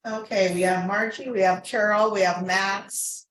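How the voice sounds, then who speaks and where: A woman's voice: mid-range voice, steady tone, unhurried, a little hiss or hum. A woman chairing a formal board meeting, moving through procedure with conversational, informal asides. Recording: echoey room recording.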